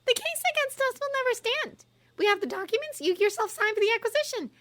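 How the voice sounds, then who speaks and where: A woman's voice: extremely high pitch, lively intonation, fast talker, a bit bright, pitched above the performer's own voice. A woman voicing a clever young witch who speaks with cool, relentless contempt. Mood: contemptuous.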